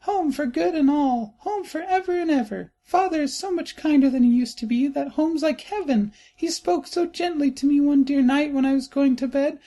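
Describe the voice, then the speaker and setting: A man voicing a small, gleeful, loving little girl in a storybook reading, speaking high and excitedly. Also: moderate pace, few pauses. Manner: high, excited, sing-song.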